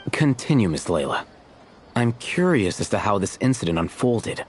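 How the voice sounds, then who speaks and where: An actor playing an aloof, blunt, coolly logical young man in a video game, terse and formal with a deadpan manner. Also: fairly low pitch, normal speed, slight background noise. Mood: dry, composed.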